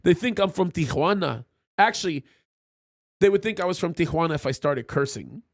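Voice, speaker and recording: A man hosting a live-stream talk show in a loose, chatty way. His voice sits high and swings widely as he reads viewer messages, laughing loudly and often restarting his sentences. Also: animated, moderate pace. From Egypt. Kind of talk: casual live-stream chat, reading viewer super chats.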